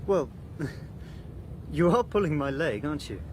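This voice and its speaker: An actor in a radio drama playing a polite, awkward and sceptical lad of nineteen, speaking hesitantly. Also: male voice, a little high, sing-song, slow, a little mellow, a little hiss or hum. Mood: puzzled. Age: young adult.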